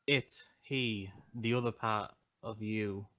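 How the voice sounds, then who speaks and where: A man's voice: on the low side, steady tone, takes it slowly, background noise. A man playing a tabletop game over a voice call, switching between casual chat and questions in character, swearing and laughing. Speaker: player.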